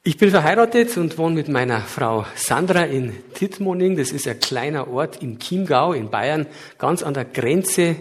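A man's voice: on the high side, expressive, normal speed, hardly any pauses, slightly rough. A middle-aged man with a light Bavarian accent giving a public lecture, explaining earnestly with occasional hesitations. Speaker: guest lecturer.

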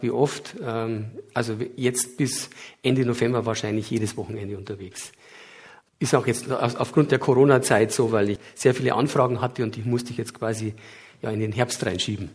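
A man's voice: mid-range voice, steady tone, moderate pace, breathy edge. A middle-aged man with a light Bavarian accent giving a public lecture, explaining earnestly with occasional hesitations. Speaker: guest lecturer.

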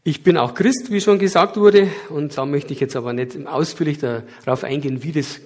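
A man's voice: fairly high pitch, normal speed, a little mellow. A middle-aged man with a light Bavarian accent giving a public lecture, explaining earnestly with occasional hesitations. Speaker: guest lecturer.

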